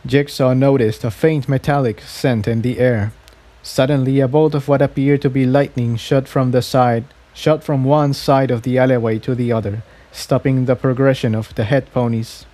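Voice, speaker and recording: A man's voice: mid-range voice, steady tone, moderate pace, dark tone. A man narrating a scripted story aloud, occasionally stumbling and repeating phrases as he reads. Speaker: fan fiction reader.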